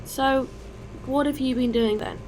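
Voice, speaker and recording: A woman's voice: high voice, fairly even intonation, measured pace, a little mellow, a little hiss or hum, rough recording. A woman playing a friendly, caring alien spacefarer in a science-fiction audio drama, talking in a casual, considerate way. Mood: teasing.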